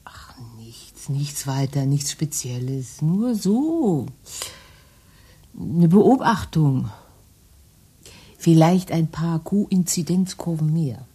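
An actress playing a wry, hungover wife in a radio play, speaking in a dry, sardonic manner. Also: female voice, low-pitched, lively intonation, takes it slowly, dark tone, slightly rough, full character voice. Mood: wry, teasing. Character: wry, hungover wife teasing her anxious husband. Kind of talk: naturalistic German radio play dialogue.

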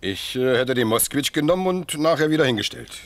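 An actor playing a caught factory thief in a crime radio play, confessing in a hesitant, resigned way. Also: male voice, mid-range voice, lively intonation, a little fast, dry recording, natural voice. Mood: subdued, confessing. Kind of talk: mid-century German crime radio play acting.

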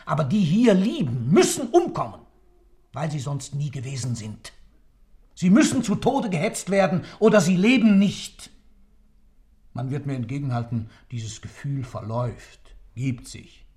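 An actor in a German radio drama playing an intense, brooding man with a theatrical, deliberate delivery. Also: fairly high pitch, sing-song. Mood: impassioned. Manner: theatrical, deliberate, swinging from hushed to forceful.

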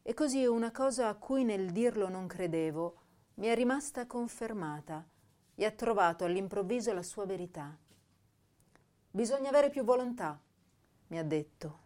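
Casting: woman to play troubled young 1930s Italian poetess, introspective and expressive, dark soft-edged tone, mid pitch. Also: normal speed, close to an everyday voice. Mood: bitter, confessional. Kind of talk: dramatic reading of diaries, letters and verse.

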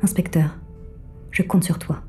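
An actress playing a covert, calculating female artificial intelligence, speaking in measured, formal phrases as she narrates an audio drama. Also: dark tone, soft background music, natural voice. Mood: quietly exultant. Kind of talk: scripted audio drama narration in first person.

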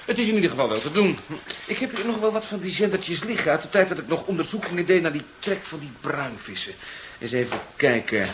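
An actor playing a brisk, self-assured male scientist in a 1970s radio play, speaking decisively. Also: on the high side, expressive, close to an everyday voice. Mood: matter-of-fact. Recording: dull vintage radio recording, faint noise.

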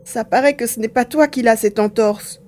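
Woman voicing a high-voiced plucky boy adventurer. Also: moderate pace, a little mellow, faint music behind, close to an everyday voice. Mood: pained, curious. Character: injured, plucky young boy adventurer in science fiction. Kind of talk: acted audio drama with inner narration.

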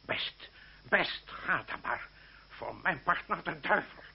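An actor playing a hot-tempered, gruff older aristocratic uncle in a radio drama, stammering and exclaiming as he blusters. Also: fairly high pitch, animated, slow, heavily acted. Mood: indignant, blustering. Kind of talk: Dutch radio drama acting. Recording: muffled, noisy, humming old radio recording.

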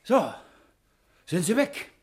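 An actor playing an indignant, domineering husband in a 1960s German radio crime play, scolding and theatrical. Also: male voice, sits high, very animated, slow pace, heavily acted. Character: indignant, hot-headed husband, domineering and proud.

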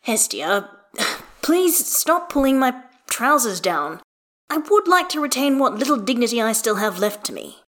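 A woman's voice: a little high, measured pace, pitched above the performer's own voice. A woman voicing a wry, long-suffering father, dry and a little exasperated, in an audio story. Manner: wry, exasperated, flatly sarcastic.

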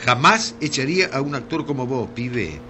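Dramatic older Argentine troupe leader, animated. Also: male voice, medium pitch, slight background noise. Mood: earnest, moved. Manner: emotional, halting, theatrical. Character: older theatre troupe leader, sentimental, dramatic, superstitious van driver. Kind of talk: radio theatre acting.

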